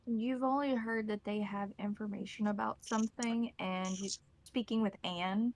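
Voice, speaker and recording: Female game master, average pitch, plainly briefing players on the story. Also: fairly even intonation, normal speed, a little mellow, dry recording, rough recording. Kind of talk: explaining story details to players.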